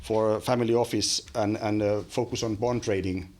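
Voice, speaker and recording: A man's voice: lowish voice, fairly even intonation, normal speed, a little mellow. A man in his forties with a Finnish accent, a podcast guest talking casually with many fillers and restarts, recorded on a studio mic in a small room. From Finland. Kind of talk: casual interview chat. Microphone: studio mic on boom arm.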